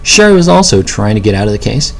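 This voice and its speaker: A man's voice: fairly low pitch, very animated, fast talker, vocal fry, a little hiss or hum, low-quality recording. A middle-aged American man reading his own first-person memoir as scripted audiobook narration.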